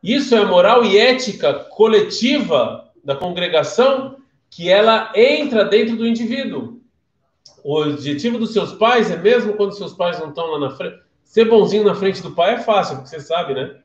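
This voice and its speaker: A man's voice: high voice, animated, moderate pace, lo-fi sound. Chatty Brazilian man teaching in an echoey room. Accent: Brazilian Portuguese. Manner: chatty storyteller, questions the listeners. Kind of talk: casual live-streamed class.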